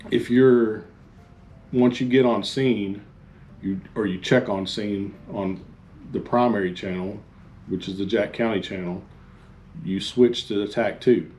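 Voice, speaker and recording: A man giving an informal instructional talk as a fire chief, halting and plain-spoken. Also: lowish voice, darkish tone. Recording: faint background noise.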